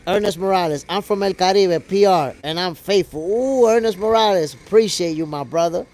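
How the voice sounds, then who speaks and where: An American man with a gravelly voice hosting a casual livestream, talking to viewers in an animated, slangy way. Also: high voice, moderate pace. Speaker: host of a boxing show livestream, reading viewer comments. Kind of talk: casual livestream chat with audience.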